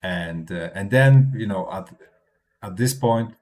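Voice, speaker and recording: A man with a low, deep voice talks through charts for a live audience in English that is not his first language. His delivery stumbles and restarts often, tagged with "right?", and loosened by small chuckles. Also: darkish tone. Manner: halting, with frequent restarts and tag "right?"; chuckles between points. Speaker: crypto trading streamer. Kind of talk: casual livestream chart commentary.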